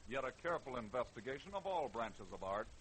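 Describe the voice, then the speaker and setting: Animated male old-time radio narrator. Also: mid-range voice, fairly quick. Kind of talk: dramatic scripted 1940s radio narration. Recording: faintly noisy old radio recording.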